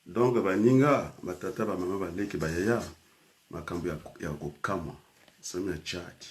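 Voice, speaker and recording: A man's voice: fairly low pitch, a little mellow. An older man from Congo, a teacher and trainer, speaking in a rambling personal talk full of hesitations and switches into Lingala.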